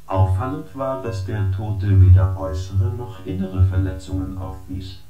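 An actor playing a formal, analytical artificial intelligence in a science-fiction radio play, speaking in a measured, matter-of-fact way. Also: male voice, deep voice. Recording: dull, roomy sound with loud guitar music.

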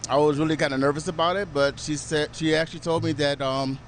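A man's voice: a little high, steady tone, fast talker, a little hiss or hum. A man in his sixties, a veteran firefighter, explaining things hesitantly in a live interview on a handheld mic. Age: 60s. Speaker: veteran firefighter and honor guard drummer. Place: open fire station garage bay. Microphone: reporter's handheld microphone.

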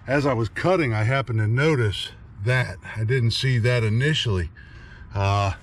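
A middle-aged man in a casual, rambling how-to chat to camera, recorded outdoors in a backyard on an action camera. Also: medium pitch. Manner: easygoing, rambling, trailing off. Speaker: firewood vlogger.

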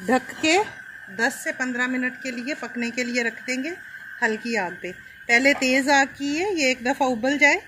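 A fairly high-voiced woman, talking a home cook through a recipe step by step. Her delivery is calm and instructive, with faint background noise behind her. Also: measured pace. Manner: calm, explains each step while cooking. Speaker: cooking channel host.

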